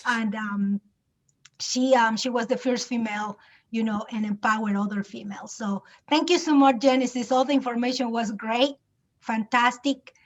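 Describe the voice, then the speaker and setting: A woman's voice: on the high side, steady tone, moderate pace, dull audio. A woman from Mexico with a Mexican Spanish accent, speaking hesitantly and gratefully on a video call. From Mexico, Veracruz coast. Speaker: conference guest. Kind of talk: casual chat on a video call.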